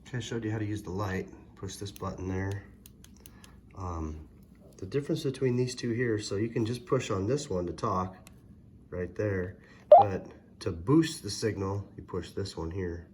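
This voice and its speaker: A man's voice: fairly low pitch, normal speed. Casual man demonstrating gear, very noisy recording. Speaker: product reviewer. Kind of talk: casual hands-on product demonstration. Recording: loud background noise, vehicle ambience.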